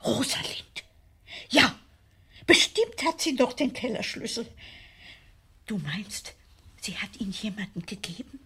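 An actress playing a fearful, easily spooked elderly aunt in a German radio crime play, anxious and dramatic. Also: female voice, fairly high pitch, very animated, measured pace, long pauses, bright, forceful tone, breathy edge, full character voice. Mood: frightened suspicion. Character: jumpy, fearful old aunt spooked by horror stories.

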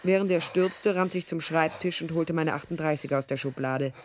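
A woman's voice: low-pitched, fairly quick, background noise, lightly acted. An actress playing a tough, sardonic female private detective in a radio drama, dialogue and first-person narration, dry and wry. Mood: tense, dry narration. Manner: dry, wry, hard-boiled.

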